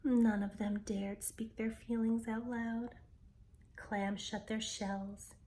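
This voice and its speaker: A woman reading a children's picture book aloud with expressive, dramatic storytelling delivery. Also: a little high, slow, slight background noise.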